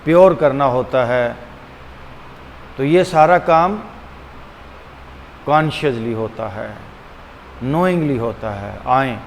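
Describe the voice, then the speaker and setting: A man leading a guided meditation as a teacher, speaking deliberately and repetitively with frequent pauses, mixing two languages. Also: fairly high pitch. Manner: switches between Hindi-Urdu and English. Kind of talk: guided meditation, calm instruction. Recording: long hall reverb, faint background hum.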